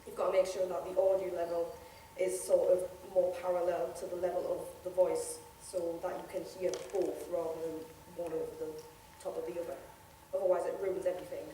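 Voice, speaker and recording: A woman's voice: fairly low pitch, flat delivery, brisk, warm tone, a little hiss or hum. Chatty woman from Newcastle on a panel. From Newcastle, England. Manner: chatty, laughs often, acts out quoted remarks. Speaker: convention panelist, amateur voice actor. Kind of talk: casual panel talk. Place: convention panel room. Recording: echoey hall, voice from far off.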